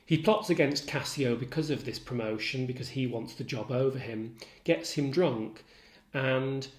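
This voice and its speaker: A man lecturing as a literature tutor, measured, articulate and instructive in his delivery. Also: mid-range voice. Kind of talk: literature lecture with recited quotations. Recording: roomy echo.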